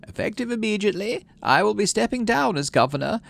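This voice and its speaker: A man voicing a prim, firm older woman who governs a colony in a sci-fi game, speaking in a measured, diplomatic way. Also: high-pitched, expressive, creaky, a bit bright, slight background noise, voice pushed up, full character voice. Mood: prim, composed.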